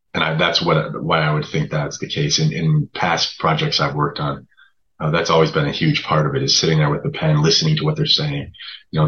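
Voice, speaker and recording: A man speaking as a guest writer in a casual, reflective discussion, hesitant and measured as he thinks aloud. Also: rumbling low, pushed and bright, dull audio.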